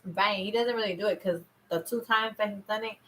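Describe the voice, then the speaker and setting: A woman's voice: medium pitch, a little fast, fairly bright tone. A woman co-hosting a podcast in casual, playful, informal chat. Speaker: podcast co-host.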